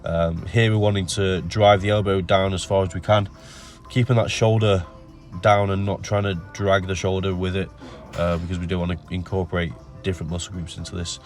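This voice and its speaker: A man with a nasal voice from a cold, explaining his gym workout in a relaxed voice-over full of ums. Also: low-pitched, fairly quick, few pauses, soft background music. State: has a cold. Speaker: bodybuilder narrating his workout. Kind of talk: casual voice-over commentary.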